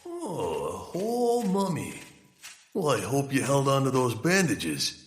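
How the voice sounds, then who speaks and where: A mocking gang henchman for an audio comedy. His delivery is blustery and unhurried, with big swings in pitch. The sound rings with long reverb. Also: huge pitch swings. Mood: mocking menace. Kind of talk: comedic audio drama acting. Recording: long hall reverb, somewhat distant.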